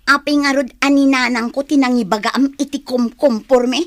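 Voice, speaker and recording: Casting: woman playing sharp-tongued young female student, high voice, indignant, radio soap delivery, dry studio sound. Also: steady tone, normal speed, dry recording, natural voice. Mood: angry, indignant. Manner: indignant, confrontational. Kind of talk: Ilocano radio drama acting.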